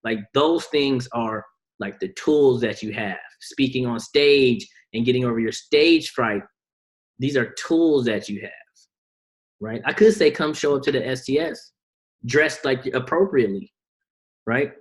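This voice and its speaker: A man leads a sales team's video call in an average-pitched voice. He keeps it loose and slangy. He pushes his point with a string of rhetorical questions. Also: lively intonation, a little slow. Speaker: team call host. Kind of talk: motivational team call.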